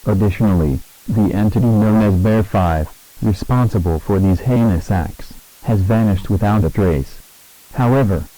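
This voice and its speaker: Low, mellow male emergency announcer. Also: no room sound, rough recording. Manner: formal, grave, measured. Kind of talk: scripted emergency alert narration.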